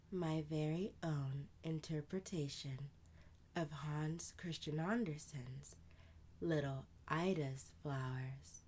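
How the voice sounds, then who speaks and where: A woman narrating a children's bedtime story as its host, in a soft, breathy, half-whispered voice. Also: low-pitched, a little hiss or hum.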